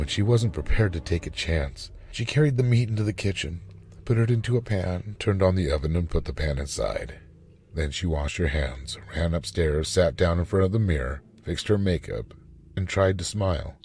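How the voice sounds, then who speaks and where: Male narrator, low-pitched, mellow tone, expressive short story reading, dramatic delivery.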